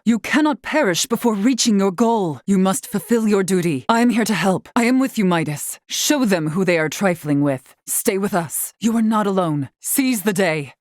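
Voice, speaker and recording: A woman voicing a proud, commanding female warrior in a video game, speaking with formal, measured diction. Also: medium pitch, no echo, clean studio sound, natural voice. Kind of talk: scripted video game character battle lines.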